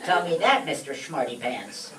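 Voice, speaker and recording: A woman's voice: a bass voice, big pitch swings, normal speed, recorded from a distance. Woman playing an indignant old immigrant mother, echoey stage. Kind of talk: comic old-time radio skit acting. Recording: echoey stage recording from afar, faint noise.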